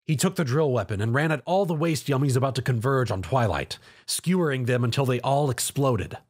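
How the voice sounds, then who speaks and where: A man reading an action story aloud as a scripted narration in an animated way. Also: mid-range voice, a little fast, breathy edge.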